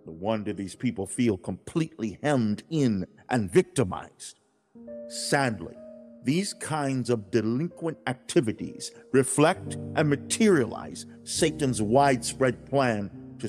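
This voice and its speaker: A man playing God in a dramatized audiobook, speaking directly to humankind in a grave, fatherly, deliberate way with emphatic enunciation. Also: medium pitch, darkish tone, close to an everyday voice.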